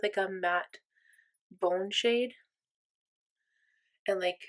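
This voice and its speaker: Young woman, makeup vlogger, casual chat, rambling, self-deprecating, mid pitch. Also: fairly bright tone.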